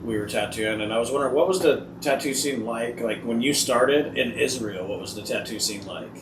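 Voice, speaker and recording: A man hosting a casual interview, asking informal, halting questions and chiming in often with short agreement. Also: mid-range voice, far from the mic. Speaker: podcast host, tattoo artist. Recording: echoey room, off-mic, noisy background.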